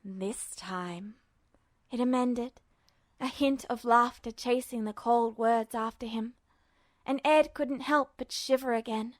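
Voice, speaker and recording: A woman reads fiction aloud in a high voice. Her delivery is scripted, expressive narration. The sound is very dry, with no sense of a room. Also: unhurried, dry recording. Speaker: podfic narrator.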